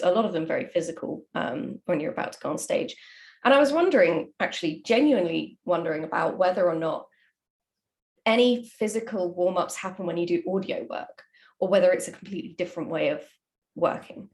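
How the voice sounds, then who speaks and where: Lowish-voiced woman, casual and enthusiastic. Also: brisk, darkish tone. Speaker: writer, co-host asking questions. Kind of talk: casual chat.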